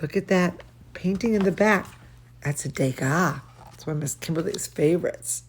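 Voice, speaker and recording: A woman's voice: fairly low pitch, normal speed, breathy edge. A woman hosting a children's bedtime story livestream, gushing and exclaiming as she chats to young viewers between bits of reading. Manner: gushing, exclamatory, addresses children and pet directly. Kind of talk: storybook read-aloud mixed with chatty asides to young viewers.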